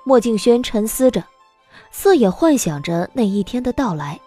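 A woman's voice: fairly high pitch, big pitch swings, moderate pace, dark tone, faint music behind. A woman narrating a scripted audiobook story as the narrator of a drama.

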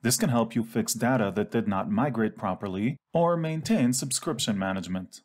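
A man reading scripted instructional narration for a software tutorial. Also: medium pitch, normal speed.

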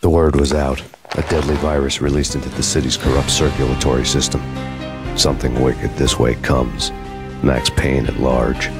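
A man playing a brooding, world-weary American cop who narrates in a deadpan, hardboiled noir style. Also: extremely low pitch, moderate pace, over loud music. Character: brooding, world-weary male New York cop gone rogue. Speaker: narrator protagonist.

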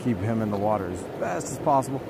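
A man's voice: lowish voice, lively intonation, creaky. A young man in his twenties giving upbeat, casual commentary to his viewers while fishing, often talking to the fish and chuckling. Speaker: fishing vlogger. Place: outdoors by a rushing stream. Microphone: action camera, chest or handheld. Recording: outdoor camera mic with loud stream noise. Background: rushing water.

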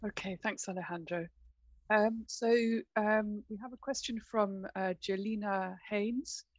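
A woman's voice: mid-range voice, fairly even intonation, unhurried, no room sound. A middle-aged woman moderating a webinar through a headset on a video call. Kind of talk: webinar hosting. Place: room at home.

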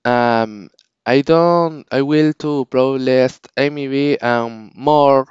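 A man whose first language is Spanish, talking casually to his channel's viewers in halting English, often restarting and searching for words. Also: mid-range voice, a little mellow, smooth, dry recording, dull audio. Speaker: channel owner. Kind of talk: casual unscripted vlog talk.

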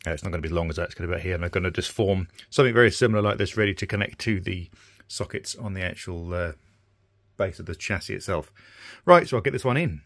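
Low-voiced man, thinking aloud. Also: fast, dry recording. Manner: informal, hesitant, thinking aloud. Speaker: radio restorer presenting a repair video. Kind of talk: casual workshop commentary. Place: small indoor workshop at a bench.

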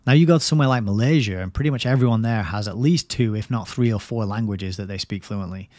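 English male host, forties, casual rambling livestream chat, lowish voice, dry close boom mic. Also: fast talker, a little mellow, no room sound. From England. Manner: rambling, chatty, laughs often. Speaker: livestream host reading a book. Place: home study lined with bookshelves. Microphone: studio mic on boom arm.

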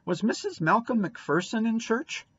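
A man's voice: high-pitched, sing-song, a bit bright, husky, muffled recording, pitched above the performer's own voice, exaggerated voice. A man voicing a stern, prying older woman in a storybook, questioning and admonishing. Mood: stern, quizzing. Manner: brisk interrogating, admonishing. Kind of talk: storybook character reading.

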